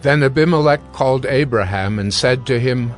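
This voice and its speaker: A man narrating scripture from a script in a measured, solemn manner. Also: medium pitch, soft background music.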